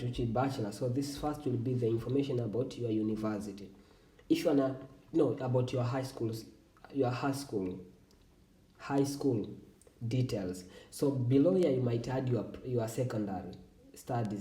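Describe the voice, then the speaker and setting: Tanzanian man, dark, roomy and distant. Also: medium pitch. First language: Swahili. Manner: halting, self-correcting explanation. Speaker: scholarship tutorial host. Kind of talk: screen-recording walkthrough.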